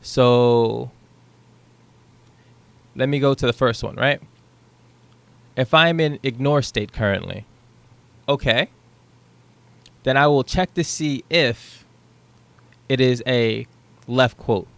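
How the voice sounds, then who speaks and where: A man's voice: medium pitch, slow pace, vocal fry, pauses often, dry recording. Young man explaining code, dry sound. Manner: relaxed, thinking aloud with ums and rights. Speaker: programming tutor. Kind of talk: casual screen-share code walkthrough. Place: small room at home.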